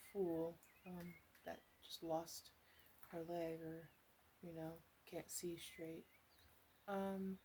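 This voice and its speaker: A woman playing a flirty, teasing witch in an ASMR roleplay, speaking softly and haltingly with some whispering. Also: lowish voice, slight background noise, rough recording. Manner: soft-spoken, teasing, halting, partly whispered. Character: flirty teasing female witch tending a tipsy guest.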